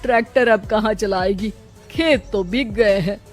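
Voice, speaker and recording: A woman voicing an anxious, affectionate elderly village mother-in-law in a Hindi animated moral story, with a plaintive, fond manner. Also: mid-range voice, measured pace, faint music behind, close to an everyday voice. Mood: troubled.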